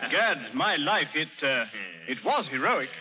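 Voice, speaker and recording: A thin, hissy old radio recording of a pompous colonel. He blusters theatrically in a high voice with wide swings of pitch. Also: sing-song, takes it slowly, narrow, tinny audio, a crowd in the background. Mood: impressed, blustering. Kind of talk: 1930s radio acting.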